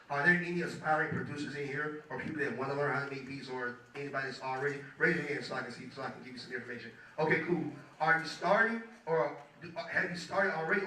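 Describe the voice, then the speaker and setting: A young man in his twenties with a hoarse voice, giving a casual motivational talk to an audience as a guest music producer. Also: mid-range voice, sharp tone, a little hiss or hum. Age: 20s. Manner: loose and chatty, keeps asking the crowd "you feel me". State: hoarse from talking. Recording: echoey hall, mic at a distance.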